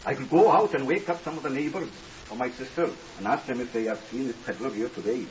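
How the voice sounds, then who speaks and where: A man's voice: mid-range voice, fast talker, close to an everyday voice. An actor playing a meek, flustered, mournful man in a 1950s radio drama, hesitant and fussily deferential. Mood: anxious, hesitant. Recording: hissy, muffled, distant 1950s radio recording.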